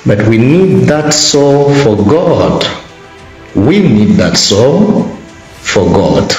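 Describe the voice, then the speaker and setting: Slow solemn Zambian preacher, echoey. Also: male voice, medium pitch, sing-song, darkish tone. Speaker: prophet. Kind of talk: preaching warning. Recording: roomy echo, faint music behind.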